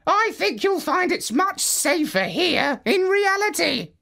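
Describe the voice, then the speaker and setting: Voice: very high voice, sing-song, slow pace, vocal fry, bright, forceful tone, voice pushed up, full character voice. A storyteller voicing a pompous, learned male parrot who talks down in a fussy, lecturing way. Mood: patronizing.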